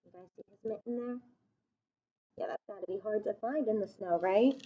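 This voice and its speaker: A woman's voice: medium pitch, moderate pace, dark tone, breathy edge, dull audio. A woman reading a picture book aloud to young children, speaking expressively and putting questions to her listeners.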